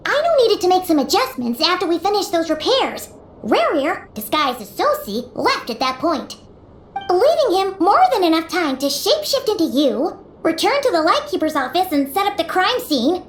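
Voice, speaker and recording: Game fairy sidekick: childlike, chatty, squeaky, very animated, roomy sound over music. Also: female voice, moderate pace, slight background noise. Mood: explaining. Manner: speaks of self in third person, expressive. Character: small childlike floating fairy companion, perky and chatty. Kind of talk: video game character dialogue.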